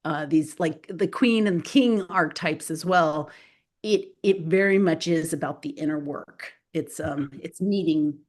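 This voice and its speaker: Low-voiced woman, native Spanish speaker. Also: unhurried. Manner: rambling, many fillers and restarts. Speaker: author and guest. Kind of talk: casual spoken interview.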